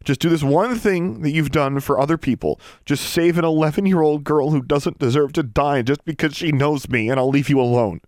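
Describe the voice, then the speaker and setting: A man's voice: fairly low pitch, fast, darkish tone, dry recording, studio quality, close to an everyday voice. A man voicing a desperate young girl who pleads insistently and defiantly in an audiobook story. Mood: desperate pleading.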